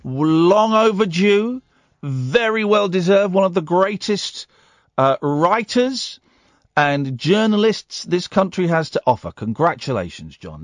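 A man in his forties hosts a late-night phone-in from a studio mic, his voice sitting a little high and swinging widely in pitch. He jokes and runs himself down, rambling cheerfully. The sound is close and dry. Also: dry recording. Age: 40s. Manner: playful, self-deprecating and rambling. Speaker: radio phone-in host. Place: radio studio. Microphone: studio microphone.

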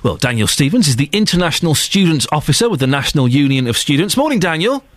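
Male radio host, quick and animated delivery, self-mocking banter, dry studio sound. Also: on the high side, dry recording. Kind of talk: radio phone-in talk.

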